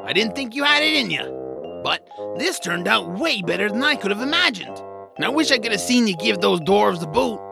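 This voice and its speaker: A man playing a gruff, folksy and wary woodland companion in a family audio drama, telling things in a colloquial, dramatic way. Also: sits high, very animated, a little fast, over music, heavily acted. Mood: impressed.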